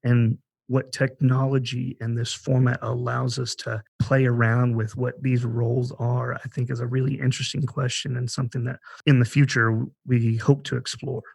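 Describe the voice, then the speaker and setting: Guy podcast host explaining stuff earnestly, gravelly fry in his voice, really dry sound. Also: medium pitch, little pitch movement, moderate pace, few pauses, darkish tone, no echo. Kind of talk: conversational podcast talk about a theater project.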